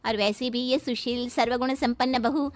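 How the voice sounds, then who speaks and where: Woman playing a high-voiced, possessive old mother-in-law. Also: fairly even intonation, normal speed, natural voice. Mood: needling.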